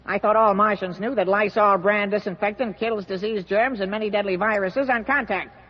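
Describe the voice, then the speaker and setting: An actor voicing a bossy, scornful Martian sidekick in an old comic radio commercial. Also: male voice, high voice, fairly even intonation, a little fast. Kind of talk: 1950s radio commercial comedy acting. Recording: dull old radio recording, long reverb.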